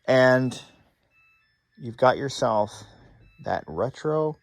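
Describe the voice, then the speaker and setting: Animated, wry man rambling casually. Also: medium pitch, extremely slow, creaky, no echo. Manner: wry, sarcastic asides, casual swearing. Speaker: host of a hobby electronics repair channel. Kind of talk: casual rambling demonstration talk to viewers.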